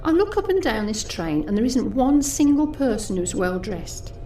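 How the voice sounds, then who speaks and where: A woman's voice: a little high, very animated, moderate pace, dark tone, a little hiss or hum, low-quality recording. An actress playing a jaded, snobbish young British woman who confides private thoughts in a confessional, sardonic audio drama monologue. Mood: haughty, sardonic. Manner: confessional, sardonic, muttering asides to herself.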